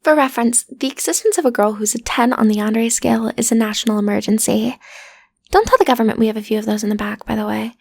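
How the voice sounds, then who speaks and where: Girl playing a sassy frazzled shady matchmaker lady, comedy audio roleplay, lots of vocal fry, super dry recording, flippant muttery asides. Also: a little high, fast talker, no room sound. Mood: wry, flippant. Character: frazzled, sardonic woman running a shady yandere matchmaking agency. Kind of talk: comedic audio roleplay monologue, addressing the listener.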